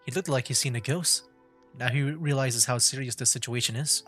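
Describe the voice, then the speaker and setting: A man doing casual livestream gameplay commentary, joking and thinking out loud. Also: medium pitch, a little fast, soft background music. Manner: joking, chatty, thinks aloud. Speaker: game streamer.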